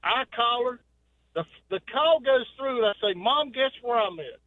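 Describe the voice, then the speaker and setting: A man's voice: extremely high pitch, animated, moderate pace, no echo. Man telling a story over the phone. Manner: folksy storyteller. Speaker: caller. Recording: tinny phone line.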